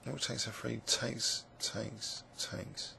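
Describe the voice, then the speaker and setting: A man with a croaky, gravelly voice, musing hesitantly with many fillers as he commentates his own blitz chess game. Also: medium pitch, flat delivery, slow, bright, forceful tone, a little hiss or hum. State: croaky voice, clearing throat. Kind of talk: live game commentary, thinking aloud.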